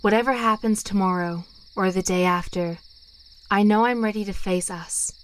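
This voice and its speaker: A loyal young schoolgirl witch, acted by a woman in an audio drama. The delivery is natural and steady, in a medium register. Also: mid-range voice, moderate pace, close to an everyday voice. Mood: tender.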